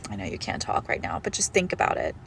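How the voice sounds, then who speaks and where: A woman's voice: rumbling low, huge pitch swings, fast talker, gravelly, a bit bright, slight background noise, heavily acted. A woman playing a possessive young female shadow monster posing as a doting girlfriend in an intimate horror audio roleplay, speaking tenderly and menacingly, often slipping toward a whisper. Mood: doting, eerie.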